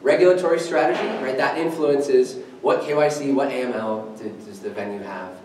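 A young man giving an energetic, conversational presentation at a meetup, quizzing the audience as he goes. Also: medium pitch, brisk, background noise. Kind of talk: conference presentation. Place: meeting room with a screen and podium. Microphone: far camera, no mic. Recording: echoey room from far off.

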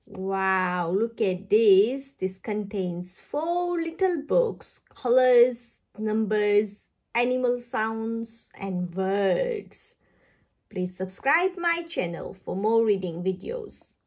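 A woman's voice: mid-range voice, expressive, darkish tone. A woman reading aloud for toddlers, saying words carefully in a sing-song, child-directed way. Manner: slow, careful labelling of single words for small children. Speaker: children's book reader and channel host.

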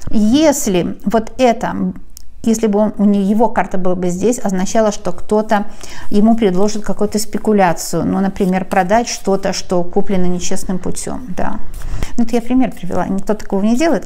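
A woman's voice: medium pitch, warm tone. A woman giving a casual tarot reading to viewers, explaining cards as she handles them and reading English card text with a Russian accent. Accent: Russian, when reading English. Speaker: tarot reader. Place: at a wooden table, overhead camera on the cards.

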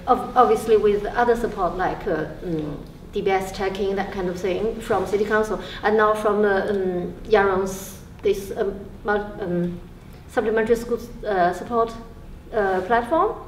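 Halting woman in an echoey room. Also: mid-range voice, fairly even intonation, far from the mic, a little hiss or hum. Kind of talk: panel discussion.

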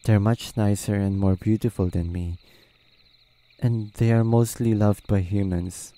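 A man voicing a proud, wary, easily flustered male nine-tailed fox spirit in a halting roleplay audio drama. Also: sits low, dark tone. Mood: wistful. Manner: hesitant, halting, muttering to himself.

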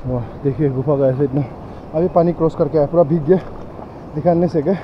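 Guy vlogging by a river, high voice, exclaims a lot, loud water noise all over it. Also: fairly even intonation. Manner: exclamatory, repeats phrases. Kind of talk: casual outdoor vlog commentary. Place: outdoors on a rocky riverbank. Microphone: handheld camera microphone. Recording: dull audio, loud rushing river water. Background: rushing river water.

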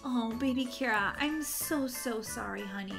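A woman's voice: a little high, normal speed, vocal fry, loud music behind the voice. A woman playing a fussy, exasperated young babysitter, scolding and coaxing in an exaggerated way for a children's game roleplay. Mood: flustered.

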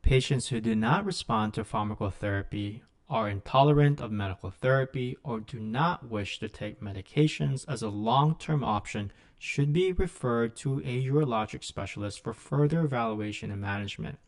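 A middle-aged man, a physician lecturer, reads a scripted medical lesson in a measured, instructional manner into a close microphone. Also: mid-range voice.

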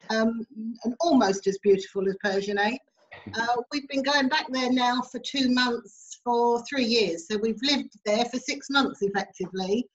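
A high-voiced woman from England in her fifties introduces herself on a group video call. She jokes about herself and laughs a lot. The audio is muffled and lo-fi. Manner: jokey, self-mocking, laughs often. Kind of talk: casual chat. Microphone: video call laptop mic.

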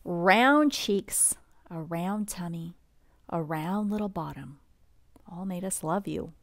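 A woman reading a bedtime picture book aloud in a gentle, expressive, unhurried storybook manner. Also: lowish voice, dry recording.

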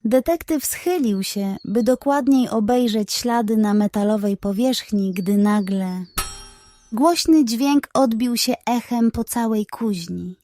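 A woman narrating a scripted bedtime story for children in an expressive, suspenseful way. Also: a little high, slow.

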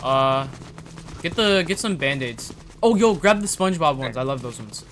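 Bright-voiced man improvising gaming roleplay. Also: medium pitch, animated, moderate pace, slight background noise. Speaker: roleplay video host and player.

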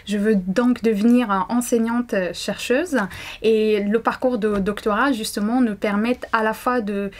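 A young woman with a slightly high voice, a native Arabic speaker, answers interview questions as a doctoral student. She talks with frequent fillers, restarts and small pauses while she searches for words. She is seated indoors, close to the mic. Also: steady tone. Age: young adult. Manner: hesitant, many fillers and restarts. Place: indoor room.

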